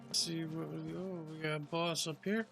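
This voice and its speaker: Guy doing chill gameplay commentary, slightly high voice, game music going in the back. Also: steady tone, normal speed, creaky. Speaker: gamer narrating own playthrough.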